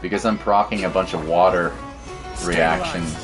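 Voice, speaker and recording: A male game streamer with a low-pitched voice gives casual live commentary. He rambles informally through his thoughts, with game music playing faintly behind him. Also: fairly even intonation, moderate pace.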